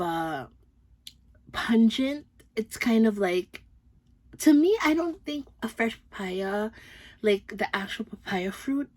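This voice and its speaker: A woman's voice: medium pitch, darkish tone, no room sound. A young woman from the Philippines with a Filipino accent, chatting upbeat through a fragrance review with many fillers. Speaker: beauty vlogger. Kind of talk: casual product review.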